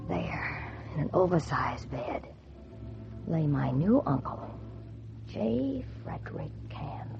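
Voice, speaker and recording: Low-voiced wisecracking noir dame. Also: female voice, creaky, exaggerated voice. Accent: American. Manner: slangy, wry, knowing. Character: wisecracking young dance-hall woman posing as an heiress. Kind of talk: 1940s radio acting, noir first-person narration. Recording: muffled 1940s radio recording with loud music.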